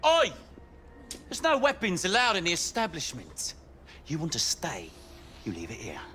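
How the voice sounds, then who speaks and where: Casting: rough establishment's bullying doorman, blunt slang, very animated pitch, game cinematic with music behind. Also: normal speed, heavily acted. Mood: gruff, bossy. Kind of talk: video game acting.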